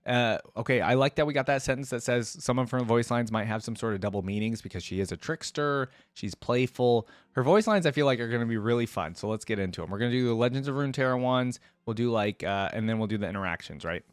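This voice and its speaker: A man hosting a reaction video, giving casual, expressive and enthusiastic commentary. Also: mid-range voice, fast talker, hardly any pauses, dry recording. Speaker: host of a reaction channel.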